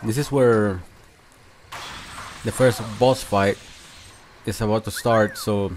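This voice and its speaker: A man giving casual, unscripted gameplay commentary as a channel host, talking in a halting, conversational way. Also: on the low side, steady tone, darkish tone, slight background noise. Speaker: video game review channel host.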